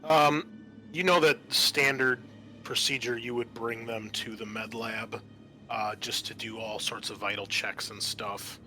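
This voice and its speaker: A man narrating an improvised tabletop game as game master, halting often mid-sentence. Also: fairly low pitch, fairly even intonation, pushed and bright, faint music behind.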